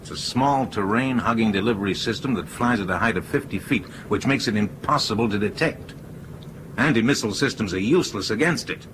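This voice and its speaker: An actor in a radio drama playing a cool, persuasive ringleader who speaks in an assertive, matter-of-fact way. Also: male voice, on the low side, brisk, background noise, lightly acted. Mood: explaining. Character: cool, persuasive male ringleader plotting a takeover.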